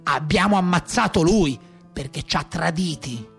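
A man's voice: fairly high pitch, moderate pace, vocal fry, a bit bright, faint music behind, pitched below the performer's own voice. A man playing a proud, menacing Tuscan brigand telling his story bluntly in a theatrical monologue. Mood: menacing, cold. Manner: colloquial, blunt, boastful telling. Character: old-time Maremma brigand, proud, defiant, menacing storyteller.

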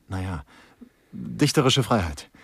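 An actor playing a droll, cynical investigator in a radio play, theatrical when reciting and offhand in his asides, with a husky voice. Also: lowish voice, expressive, slow, a bit bright, no echo, full character voice. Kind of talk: German radio play dialogue.

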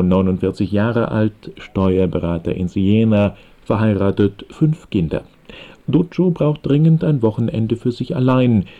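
A man's voice: fairly low pitch, dull audio. A man reading a scripted introduction to a radio play as a broadcast announcer.

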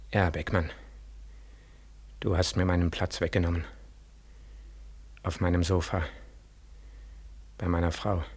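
An actor in a 1940s German radio drama playing a dead war returnee, a mournful, gently accusing ghost who speaks slowly and solemnly with heavy pauses. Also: male voice, deep voice. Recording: 1940s radio studio recording.

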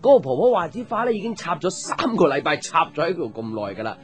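A man's voice: a little high, very animated, fast talker. Boyish hong kong cantonese guy, chatty n teasing, voice bounces around, radio drama, music behind. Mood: playful, confiding. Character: boyish, chatty young man, earnest playful storyteller. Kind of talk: radio drama acting, casual storytelling chat.

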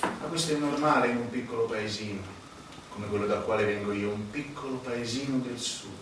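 A man's voice: mid-range voice, noisy background, close to an everyday voice. Man acting a proud mafioso, echoing from afar. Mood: brooding, reminiscent. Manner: solemn, declamatory, dramatic pauses. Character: proud unrepentant Sicilian male mafioso confessing, arrogant, self-righteous. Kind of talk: theatrical stage monologue. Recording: echoey distant stage recording with music. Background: music.

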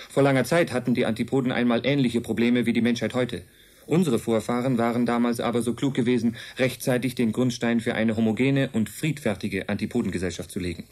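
An actor in a German radio drama playing a calm, earnest envoy of an advanced race, speaking in a measured, matter-of-fact way. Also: male voice, mid-range voice, close to an everyday voice. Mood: firm, sober.

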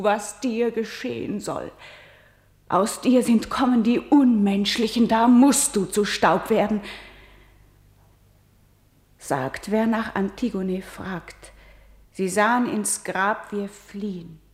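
Echoey sound, actress as condemned young tragic heroine, slow, solemn, lamenting, defiant, radio play verse. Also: female voice, medium pitch, darkish tone, lightly acted. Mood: mournful farewell. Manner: solemn, measured, dropping from ringing lament to whisper. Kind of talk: declaimed verse tragedy in a German radio play. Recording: echoey, roomy studio sound.

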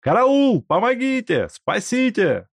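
Male reader as indignant clerk, loud protests, raised pitch, dry sound. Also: sing-song, slow, dry recording, full character voice. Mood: desperate.

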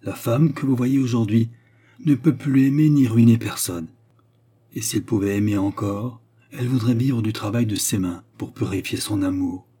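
Storybook role: repentant courtesan played by a male reader, solemn and commanding, declamatory, with a husky, dark tone. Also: on the low side, moderate pace, pitched above the performer's own voice. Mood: repentant, earnest. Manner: formal, declamatory, emphatic. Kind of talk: dramatic storybook dialogue.

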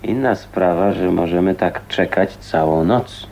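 A man's voice: low voice, measured pace, vocal fry, a little mellow. Blunt, wry maverick man, faint noise. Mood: annoyed. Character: gruff, casual, chivalrous maverick man, unbothered by reputation. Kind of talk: radio drama acting.

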